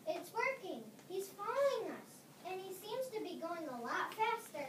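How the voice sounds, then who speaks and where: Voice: very high-pitched, very animated, normal speed. A young reader playing an anxious, scolding schoolgirl in an amateur readers' theater performance. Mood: urgent. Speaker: student reader. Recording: distant mic in echoey, slightly noisy room.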